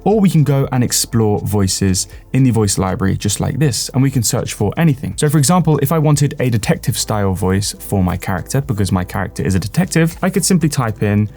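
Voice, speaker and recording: A man presenting an instructional software walkthrough, explaining step by step and stressing the openings of his phrases. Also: fairly low pitch, expressive, a little fast, creaky, few pauses, faint music behind. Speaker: tutorial presenter.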